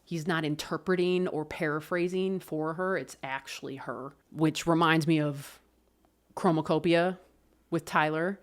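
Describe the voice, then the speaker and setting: A woman casually talking through an album review, loose and expressive, swearing and laughing often. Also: low-pitched, unhurried. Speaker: music reviewer.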